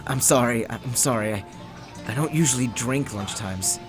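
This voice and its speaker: An actor playing a nervous, hapless failed comedian in a radio drama, hesitant and stammering. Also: male voice, mid-range voice, normal speed, lightly acted. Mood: anxious, hesitant. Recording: prominent music bed behind voice.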